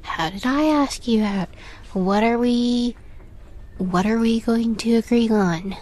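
A woman playing a shy young catgirl roommate in an intimate roleplay audio, halting and nervous with a playful streak, often softening to a near whisper. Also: a little high. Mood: nervous, hesitant.

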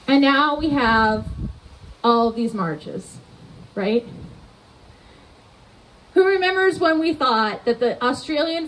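A woman's voice: high voice, low-quality recording. A woman, preaching a conversational sermon outdoors at a church entrance into a mic on a stand, often asking the congregation rhetorical questions. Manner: animated hand gestures while preaching. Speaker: pastor.

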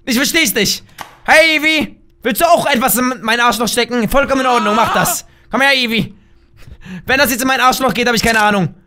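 Heiserer junger typ, ruft ständig laut rum und lacht, stimme grell und hoch, kommentiert ein spiel in seinem zimmer. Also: male voice, very high voice, brisk. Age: young adult. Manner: loud, shouty, laughing, playful exclamations. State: hoarse. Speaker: gaming YouTuber. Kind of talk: excited gameplay commentary. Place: small room at home, gaming chair. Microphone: headset with desk mic.

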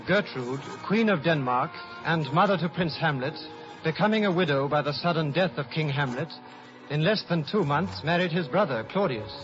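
An actor playing an earnest, loyal scholar in measured, solemn 1950s radio Shakespeare. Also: male voice, on the high side, animated, music in the background, close to an everyday voice. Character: Horatio, earnest loyal scholar recounting a tragedy. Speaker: narrator. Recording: muffled 1950s radio broadcast.

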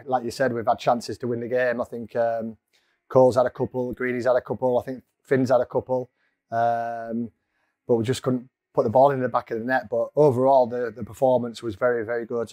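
Flat-toned bearded football manager. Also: male voice, mid-range voice, no room sound, clean studio sound. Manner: steady, measured, many ums. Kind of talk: post-match interview. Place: small indoor room in front of a sponsor board. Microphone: lapel mic.

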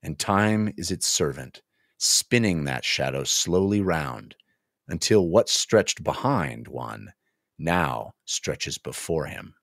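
Very deep-voiced middle-aged man. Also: expressive, takes it slowly, gravelly, a bit bright, no room sound, clean studio sound. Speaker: podcast co-host. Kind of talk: book discussion with expressive read-aloud passages. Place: small home office, indoors. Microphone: close podcast microphone on a boom arm.

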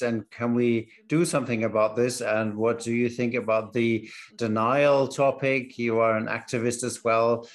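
A man moderating a panel discussion over a video call, formal and a little hesitant. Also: mid-range voice.

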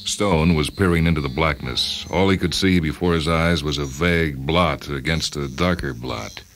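Very deep-voiced man narrating a story. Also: moderate pace, fairly bright tone, a little hiss or hum. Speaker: narrator reading a short story. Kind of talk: scripted dramatic narration. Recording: music bed under the voice. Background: music.

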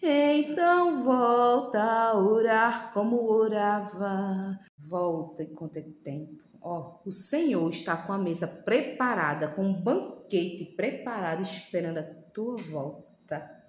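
A woman in her thirties from Brazil delivers a devotional sermon straight into her phone, held at arm's length in a small room. She pleads fervently and often slows down to stress her words. The room adds a noticeable echo, with a faint hum underneath. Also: medium pitch. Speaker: lay preacher. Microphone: phone camera at arm's length.

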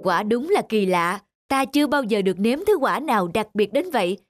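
A woman's voice: on the high side, lively intonation, normal speed, close to an everyday voice. Woman as tender, earnest young husband, music behind. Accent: Southern Vietnamese. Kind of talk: cartoon folk tale reading.

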